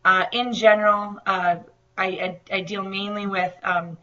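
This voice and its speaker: A woman nutrition instructor giving a recorded online lecture, her voice slightly raspy from allergies, with many fillers and restarts. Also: medium pitch, flat delivery, measured pace, sharp tone, lo-fi sound. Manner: hesitant, many fillers and repeated words.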